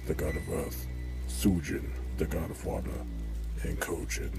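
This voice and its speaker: An actor playing a cold, proud ninja assassin with ice powers in an audio drama, terse and defiant. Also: male voice, low-pitched, monotone, a little slow, gravelly, over loud music, full character voice. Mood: wary.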